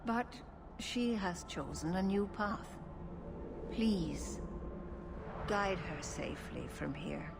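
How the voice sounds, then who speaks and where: An actress playing a wise, solemn elven clan keeper in a fantasy video game, speaking in a measured, formal way. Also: female voice, lowish voice, expressive, gravelly, background noise, full character voice. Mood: grave, measured. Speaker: clan leader and spiritual guide.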